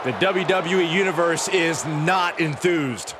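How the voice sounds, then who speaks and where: A man's voice: fairly high pitch, a little slow, noisy background, natural voice. A man playing a wry wrestling commentator in a video game, calling the action at ringside. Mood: wry, eager. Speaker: sports commentator.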